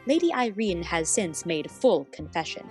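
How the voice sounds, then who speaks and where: A woman playing a loyal, admiring assistant to a lady detective, narrating a period radio mystery in formal, expressive storytelling. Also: fairly low pitch, moderate pace, soft background music. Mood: dramatic, admiring.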